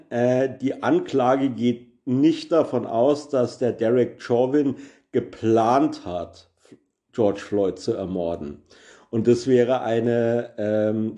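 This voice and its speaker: Guy doing a solo podcast, slow and mellow, lots of umms, careful explainer. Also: mid-range voice. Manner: hesitant, frequent filler sounds. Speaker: podcast host. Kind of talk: solo podcast monologue summarizing news.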